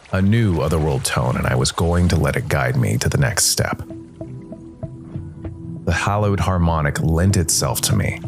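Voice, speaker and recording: A man playing a brooding jazz musician turned supernatural investigator, telling his case in measured first-person noir narration. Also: deep voice.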